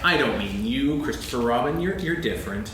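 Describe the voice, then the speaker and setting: A man playing a gloomy, sarcastic, self-pitying old donkey in a staged reading, mournful and deadpan with halting delivery. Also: mid-range voice, lightly acted. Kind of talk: staged reading of a children's story play. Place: on stage in a hall. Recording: very echoey hall, faint noise, archival recording.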